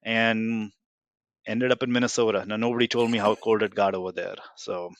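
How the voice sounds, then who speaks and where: Low-voiced man with an Indian accent. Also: fairly even intonation, moderate pace, no room sound. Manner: rambling and self-deprecating, many fillers. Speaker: guest, tech company founder. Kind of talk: casual interview talk.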